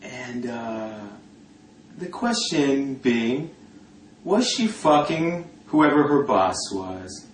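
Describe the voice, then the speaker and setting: A man telling a personal story informally in a sardonic, gossipy, coarse-mouthed and animated way. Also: medium pitch, very slow. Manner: animated, breaking often into laughter. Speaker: storyteller talking about a past religious community. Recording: roomy echo, distant mic, faint noise.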